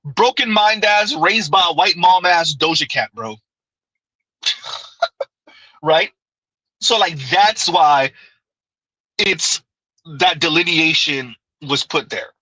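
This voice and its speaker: A man talks through viewer comments on his live stream in a loose, chatty way. His voice is sharp and forward, sitting a little high for a man. The sound is close and dry, with almost no room around it. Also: takes it slowly, pauses often, dry recording, rough recording. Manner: conversational, repeats "right?", laughs often.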